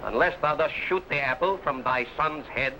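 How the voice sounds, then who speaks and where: An actor playing a cruel, imperious governor who taunts in archaic speech, in old adventure serial style. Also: male voice, on the high side, normal speed, natural voice. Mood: menacing. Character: cruel, haughty male governor, mocking and imperious tyrant. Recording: noisy old broadcast recording.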